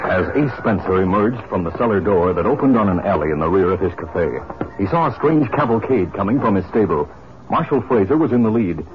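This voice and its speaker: A man's voice: on the low side, lively intonation, fairly quick. A man with an American accent narrating a scripted 1950s western radio drama. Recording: muffled, noisy old radio recording.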